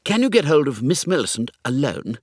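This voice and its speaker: Man playing a breezy upper-class English young gentleman, swooping pitch. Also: very animated, brisk, no echo, clean studio sound. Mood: conspiratorial. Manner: effusive, slangy, blithe. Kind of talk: comic audiobook character acting.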